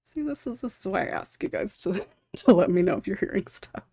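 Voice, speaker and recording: A woman's voice: mid-range voice, expressive, a little fast, gravelly, mellow, husky, no echo, studio quality. A woman hosting a podcast in casual, unscripted talk. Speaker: podcast host. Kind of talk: casual chat.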